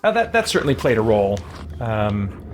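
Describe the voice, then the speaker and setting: A man's voice: fairly low pitch, big pitch swings, normal speed, a little hiss or hum. A man chatting casually and a little self-effacingly while livestreaming a game. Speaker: game streamer.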